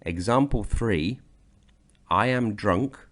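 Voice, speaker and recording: A man from Britain narrating a slideshow grammar lesson, explaining slowly and deliberately. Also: fairly low pitch, a bit bright. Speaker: language teacher.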